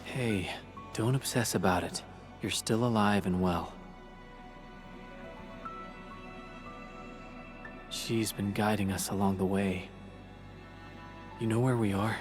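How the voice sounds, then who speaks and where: An actor playing a steady, matter-of-fact male companion in a video game. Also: lowish voice, a little slow, close to an everyday voice. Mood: reassuring. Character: steady, reassuring male companion in grim journey. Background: background music.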